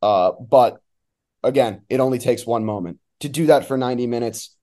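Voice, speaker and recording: A man's voice: medium pitch, normal speed, dark tone. A man talking as a sports announcer guest, giving emphatic, opinionated analysis in a conversational way.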